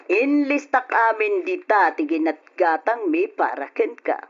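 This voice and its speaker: Actress for sharp-tongued, stingy aunt, very animated pitch, Ilocano Filipino accent, radio drama, dull lo-fi recording. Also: female voice, unhurried. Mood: stern, indignant. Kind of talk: old-style Ilocano radio drama acting.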